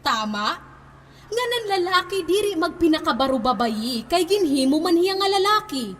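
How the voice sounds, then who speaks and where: An actress plays a righteous guardian of morals with a high voice, declaiming and posing rhetorical questions like a preacher. The stage hall echoes around the voice, with some noise behind it. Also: female voice, sing-song, moderate pace, fairly bright tone, full character voice. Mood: preachy, righteous. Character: self-appointed stern defender of morality named Himora. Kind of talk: stage play monologue, declaiming.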